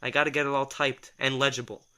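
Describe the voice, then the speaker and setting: A man's voice: medium pitch, level tone, fast talker, bright, forceful tone, lightly acted. A man acting in an audio drama as a sardonic, exasperated assistant who reads minds, talking in a wry, defensive, rambling conversational way. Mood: matter-of-fact. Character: sardonic, exasperated male telepath, former personal assistant to a writer.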